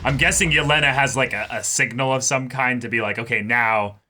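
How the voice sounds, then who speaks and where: A man giving casual, excited reaction commentary, swearing and blurting out short exclamations. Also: mid-range voice, brisk, soft background music. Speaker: reaction video host.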